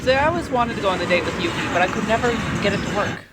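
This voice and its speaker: A man's voice: sits high, animated, racing, very noisy. A man working as a video game critic, giving casual, explanatory commentary on game scenes in a chatty style. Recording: loud game music behind the voice. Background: loud video game music.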